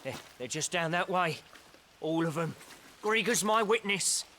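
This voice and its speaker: A man's voice: high-pitched, animated, unhurried, bright, forceful tone, a little hiss or hum, full character voice. An actor playing a nervous male turncoat in a video game, stammering and pleading.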